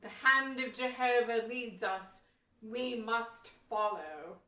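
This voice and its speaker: A woman's voice: a little high, slow pace, background noise. A woman playing a devout, resolute Pilgrim pastor in a historical audio play, with earnest, formal old-fashioned phrasing. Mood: fervent, resolute. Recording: roomy echo, distant from mic.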